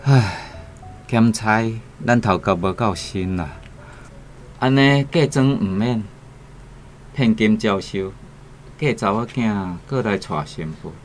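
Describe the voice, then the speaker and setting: Weary, sighing village father, Taiwanese accent, slow, faint ambience behind, radio play. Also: lowish voice, slight background noise. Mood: resigned. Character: resigned, sighing country father, plain and weary. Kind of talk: radio drama acting.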